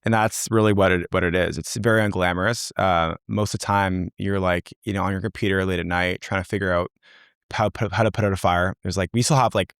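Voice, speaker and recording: A young man with a low, gravelly voice talks in a loose, rambling way, full of fillers and restarts. He sounds close and dry on a podcast mic. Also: steady tone, fairly quick, fairly bright tone, no room sound. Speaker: company founder, guest. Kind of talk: casual interview talk.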